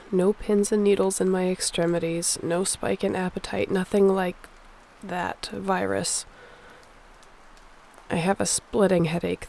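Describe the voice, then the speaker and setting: A woman's voice: on the low side, gravelly, a little mellow, a little hiss or hum. A woman playing a sick, stubborn survivor of a zombie apocalypse in an audio roleplay, speaking in a weary, conversational way. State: feverish, under the weather.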